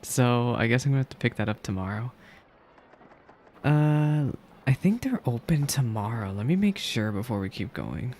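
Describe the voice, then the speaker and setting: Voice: medium pitch, expressive, moderate pace, a little mellow, slight background noise. A streamer giving casual, chatty gameplay commentary, playful and talking back to the game's characters. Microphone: close streaming microphone. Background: faint game music.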